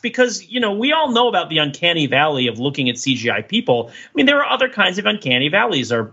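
A man's voice: mid-range voice, bright, forceful tone, husky, lo-fi sound. A man talking casually and analytically as a podcast host, discursive and emphatic in his delivery.

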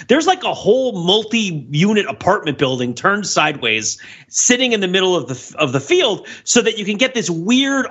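A man on a podcast giving casual, animated, digressive commentary, often checking in with "right?". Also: high-pitched, husky, lo-fi sound. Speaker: podcast host. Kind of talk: casual podcast discussion and critique.

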